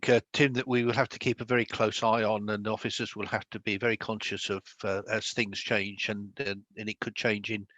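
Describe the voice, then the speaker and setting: A British man chairing a formal committee meeting, speaking in a deliberate way with hesitant phrasing. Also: on the low side, fairly bright tone, dry recording.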